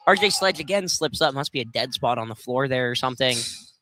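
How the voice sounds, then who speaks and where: A man giving conversational color commentary on a live basketball game, halting and rephrasing as he goes. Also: medium pitch, expressive. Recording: slight background noise.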